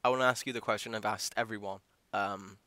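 A man's voice: fairly low pitch, steady tone, fast, sharp tone, dry recording. A man hosting a podcast, interviewing in a casual, chatty style.

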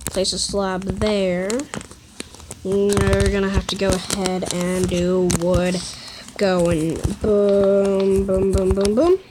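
A woman giving casual tutorial commentary while she builds in a game, counting blocks aloud and saying "boom" as she places them. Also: takes it slowly. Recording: steady background noise. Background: running water.

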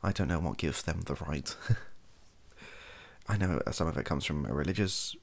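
A young British man, softly spoken, rambling hesitantly through an opinion monologue in a gravelly, husky voice and often trailing off. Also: extremely low pitch, unhurried. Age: young adult. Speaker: ASMR YouTuber. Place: small home room, indoors.

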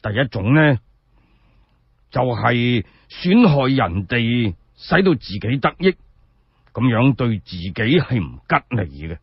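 A man's voice: mid-range voice, sing-song, slow, husky, no room sound, muffled recording, pitched below the performer's own voice, heavily acted. A man voicing a stern, dignified elderly sage in a radio storytelling, speaking in solemn, admonishing tones.

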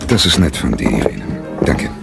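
A caring, proud theatre leader in a radio drama. His deep voice moves in lively swings, yet he stays guarded. Music sounds behind him. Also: moderate pace, vocal fry, heavily acted. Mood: gentle concern.

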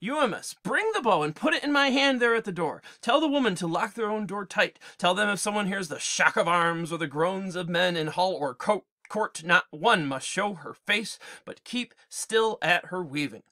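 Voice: medium pitch, expressive, moderate pace, hardly any pauses, bright, forceful tone, voice pushed up. A teacher reading aloud as a disguised old warrior king, firm and giving orders. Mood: commanding.

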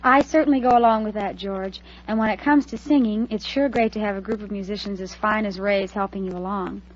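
A woman's voice: medium pitch, fairly even intonation, brisk. A woman delivering scripted banter as a featured guest on an old radio music show. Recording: dull vintage radio recording.

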